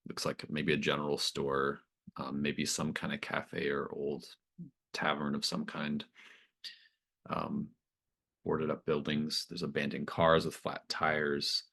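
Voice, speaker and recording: Male game master, extremely low voice, improvised scene-setting in halting phrases. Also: monotone, bright, forceful tone. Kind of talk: improvised tabletop game narration.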